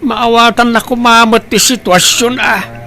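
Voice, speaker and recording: A man's voice: very high voice, steady tone, unhurried, gravelly, over music, heavily acted. Man playing a blunt, grumbling older father. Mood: blunt, resigned. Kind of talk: Ilocano comedy radio-style drama acting.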